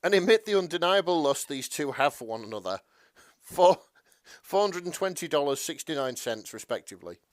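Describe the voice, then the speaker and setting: A man's voice: on the high side, very animated, fairly quick, no room sound, studio quality. A man co-hosting a podcast in casual chat, talking in a hesitant, sweary way. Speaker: podcast co-host.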